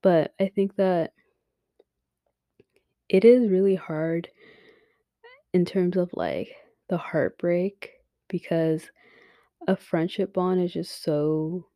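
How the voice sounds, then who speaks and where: Frank young woman, slow and mellow. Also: lowish voice, steady tone, dry recording, clean studio sound. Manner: frank, swears, many fillers, drops to a whisper. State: losing her voice. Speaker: podcast host. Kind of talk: casual advice monologue.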